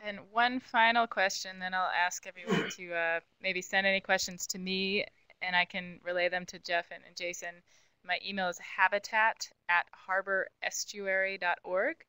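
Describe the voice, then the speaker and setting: A woman's voice: mid-range voice, steady tone, moderate pace, bright, forceful tone, clear, resonant tone, dry recording, rough recording. A woman moderating a webinar, reading audience questions out in an orderly way and passing them along.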